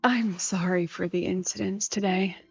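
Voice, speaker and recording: An actress playing a polite, reserved, overworked academy president in a video game. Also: female voice, lowish voice, steady tone, normal speed, vocal fry, a little mellow, husky. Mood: courteous, composed. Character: composed, courteous, overworked female academy president. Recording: dull lo-fi game audio, faint music.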